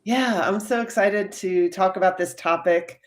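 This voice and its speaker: A woman's voice: medium pitch, measured pace. A woman in her fifties hosting a live stream interview, upbeat and chatty with frequent fillers, fighting a cold. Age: 50s. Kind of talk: casual interview chat.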